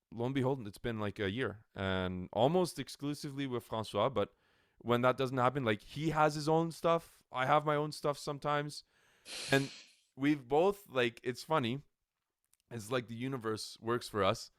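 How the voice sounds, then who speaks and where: A man from Canada co-hosting a podcast on a good studio microphone. He talks loudly and animatedly, full of filler likes. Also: medium pitch, a little slow, smooth, no room sound. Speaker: podcast co-host. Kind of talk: casual chat. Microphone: quality podcast microphone.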